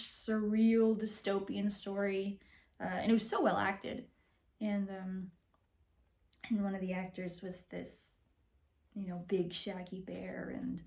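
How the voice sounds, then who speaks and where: A young woman playing a wistful, candid actress confiding in her divorce lawyer in a halting, rambling dramatic monologue. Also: mid-range voice, darkish tone, close to an everyday voice. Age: young adult. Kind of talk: naturalistic dramatic film monologue.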